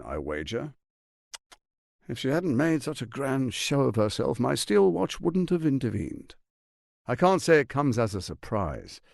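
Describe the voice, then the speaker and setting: An actor playing a scheming, menacing fantasy tyrant in a video game, silky, grandiose and condescending, speaking with theatrical deliberation. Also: male voice, medium pitch, creaky, no room sound, clean studio sound. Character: scheming, smooth, menacing male tyrant lord and political mastermind.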